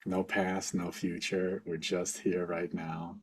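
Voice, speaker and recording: A man leading a guided meditation for a group as facilitator, speaking informally in a gentle, reassuring, unhurried way. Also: low voice, flat delivery, slightly rough.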